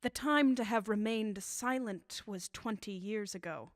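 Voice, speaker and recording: A woman playing an embittered elderly scientist in a radio drama, measured, calm and dryly sardonic. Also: mid-range voice, dry recording, clean studio sound, lightly acted. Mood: dry, bitter. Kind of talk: 1930s-style radio drama acting.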